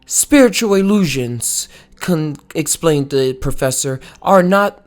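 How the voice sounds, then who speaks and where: A woman's voice: low-pitched, animated, slow, darkish tone. A woman reading a novel aloud as a book club read-along, stumbling now and then over the words.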